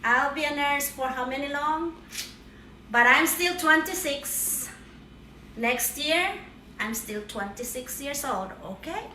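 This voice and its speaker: Woman, high bright voice, very slow deliberate talk, playful cooking demo, echoey kitchen. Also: a little hiss or hum. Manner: playful, jokey, cheery "ta-da" exclamations. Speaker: home cook vlogger. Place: home kitchen, indoors. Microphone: far camera. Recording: roomy kitchen echo, distant mic, faint kitchen clatter.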